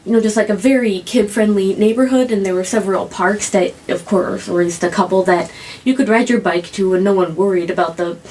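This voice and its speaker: A woman's voice: medium pitch, brisk, a little mellow, slight background noise, low-quality recording. An American woman co-hosting a podcast, chatting casually in a hesitant, meandering way. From small-town United States. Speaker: podcast co-host. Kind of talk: casual chat, reminiscing.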